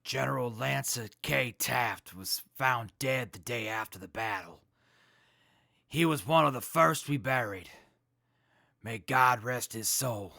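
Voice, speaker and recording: Guy doing a hepcat army leader, earnest jive talker, bright voice, really dry sound, audio drama. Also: medium pitch, slow, gravelly, no room sound, heavily acted. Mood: grieving. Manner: jive beatnik slang.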